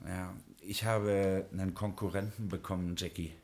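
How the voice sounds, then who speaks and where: A smooth-talking mob boss from a 1960s radio play, acted by a man. The voice sits fairly low and the delivery is measured and cajoling, always working to persuade. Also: steady tone, darkish tone, lightly acted. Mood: confiding, wary. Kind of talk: 1960s German radio drama acting.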